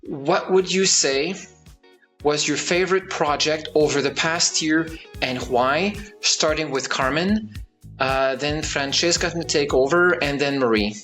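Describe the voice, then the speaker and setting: A man hosting a live online event, speaking in a formal, measured way with frequent fillers. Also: fairly high pitch, fairly bright tone, faint music behind. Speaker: event host.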